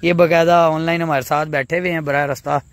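A man's voice: a little high, level tone, fast talker, no room sound. A man in his thirties with a Punjabi accent, chatting casually to his phone camera while riding outdoors in the rain. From Pakistan. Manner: chatty, mixes Urdu with Punjabi and English phrases. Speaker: vlogger. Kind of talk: casual travel vlog. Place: outdoors on a rural road in rain, riding a vehicle. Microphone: phone selfie camera at arm's length. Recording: loud vehicle and outdoor noise.

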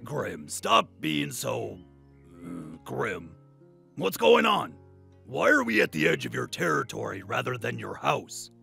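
Cocky knight guy acted by a man, super creaky voice, swings up and down, fantasy audio play. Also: medium pitch, huge pitch swings, takes it slowly, pushed and bright, soft background music, heavily acted. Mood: teasing, puzzled. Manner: blustery, colloquial, exclaiming. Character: brash, irreverent male armoured warrior. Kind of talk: fantasy audio drama acting.

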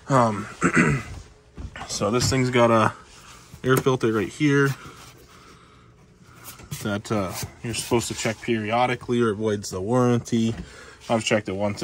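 A man's voice: mid-range voice, a little hiss or hum. A man casually reviewing his air compressor in a cluttered garage, filming on a handheld phone and rambling with lots of fillers and blunt, profane asides. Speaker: channel host reviewing tools. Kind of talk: casual off-the-cuff product review. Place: cluttered garage workshop, indoors. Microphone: handheld phone camera, first-person view.

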